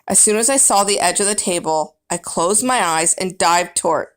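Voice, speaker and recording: A woman's voice: lowish voice, moderate pace. A woman reading a children's book aloud as first-person narrator, with dramatic, emphatic storybook phrasing.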